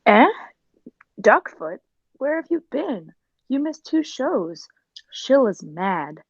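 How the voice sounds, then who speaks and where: A woman playing a jealous, cold-blooded circus performer in a 1940s radio drama, deliberate and scheming, on a muffled recording. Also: high voice, very animated, husky, no echo, exaggerated voice. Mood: curt, wary.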